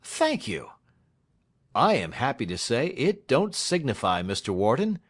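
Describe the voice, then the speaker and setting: A man's voice: mid-range voice, huge pitch swings, measured pace, pitched above the performer's own voice. A man voicing a shrewd, whimsical male lawyer with a dry manner, in an audiobook reading. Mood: relieved. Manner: dry, shrewd, prodding questions.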